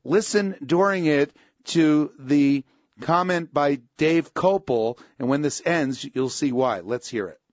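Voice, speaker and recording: A man with a somewhat high voice gives an emphatic radio commentary as a pastor and host. The sound is dry and a little dull. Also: takes it slowly, no echo. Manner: emphatic, opinionated delivery.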